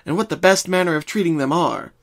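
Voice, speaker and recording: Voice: mid-range voice, fast, voice pushed up, natural voice. A performer voicing a caring, bookish young female unicorn pony who speaks earnestly and precisely in a cartoon fan reading. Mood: studious. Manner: concerned, precise, articulate.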